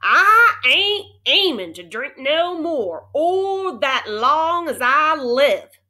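Woman putting on a loud tiny Texas cowboy. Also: big pitch swings, very slow, exaggerated voice. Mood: defiant. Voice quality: loud, drawling, high-pitched. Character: tiny hot-tempered male cowboy, loud and bitter.

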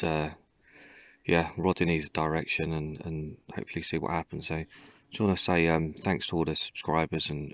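Low flat-voiced man on a riverbank. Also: dry recording, dull audio. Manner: relaxed, halting, many ums and restarts. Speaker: angler vlogger. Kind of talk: casual walkthrough of fishing gear. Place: riverbank outdoors. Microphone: phone camera held close.

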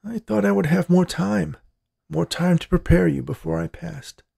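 A man voicing a frail, dying old grandfather in a story, hoarse, grave and urgent. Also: on the high side, normal speed, mellow, voice pushed up, heavily acted. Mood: grave, fearful. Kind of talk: storybook character voice in narration.

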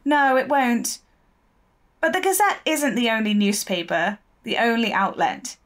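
An actress playing a persistent, shrewd newspaper reporter in an audio drama, speaking confidently and articulately. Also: female voice, fairly high pitch, measured pace, close to an everyday voice. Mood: determined, pointed.

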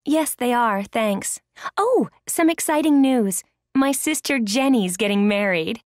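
A chatty, higher-voiced friendly woman. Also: animated, unhurried, no echo, studio quality. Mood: excited, pleased. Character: chatty friendly woman making small talk. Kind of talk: scripted English listening-exercise dialogue.

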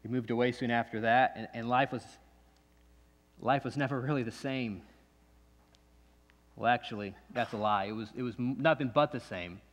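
A man's voice: medium pitch, lightly acted. A man playing a bitter, distrustful restrained man. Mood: grieving, hurt. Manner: halting, repeats himself, confrontational questions. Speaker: actor in a monologue. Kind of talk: dramatic stage monologue. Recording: long echoey hall reverb.

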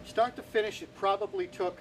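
A man in his forties talks through a carpentry job in a plain, informal, step-by-step way. His voice sits a little higher than most men's. He is recorded outdoors, with clear ambient noise behind his words. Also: fairly even intonation, fairly quick. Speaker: carpenter hosting a home improvement series. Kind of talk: hands-on how-to explanation, informal. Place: outdoors in a house yard. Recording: noisy outdoor camera audio with ambient sound.

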